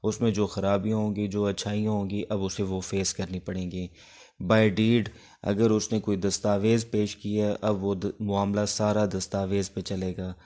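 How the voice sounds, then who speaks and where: A man's voice: fairly low pitch, flat delivery, normal speed, warm tone. A man with a Pakistani accent giving an informal law lecture as a teacher, explaining step by step and switching between two languages. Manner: explanatory, code-switches between Urdu and English.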